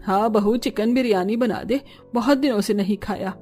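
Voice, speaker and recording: A woman's voice: mid-range voice, moderate pace, a little mellow, soft background music, pitched below the performer's own voice, close to an everyday voice. A woman voicing a bossy, fussing middle-aged mother-in-law in a cartoon, demanding and coaxing.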